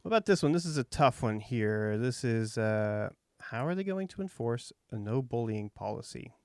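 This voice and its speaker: A man in his thirties from Texas with a light Texas accent, hosting a relaxed, folksy interview and thinking out loud with fillers. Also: mid-range voice, moderate pace, clean tone, dry recording, studio quality. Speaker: podcast host. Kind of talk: casual interview chat.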